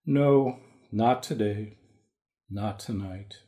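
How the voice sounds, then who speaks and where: A man playing a weary, kingly sun god and gentle father in an audio drama, speaking slowly, tired and solemn. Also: lowish voice. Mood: wistful. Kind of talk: dramatic reading like a radio play.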